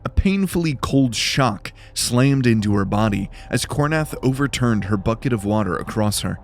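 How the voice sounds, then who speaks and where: A man's voice: medium pitch, lively intonation, moderate pace. A man narrating fantasy fiction in a scripted, dramatic storytelling style. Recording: music behind the voice. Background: music.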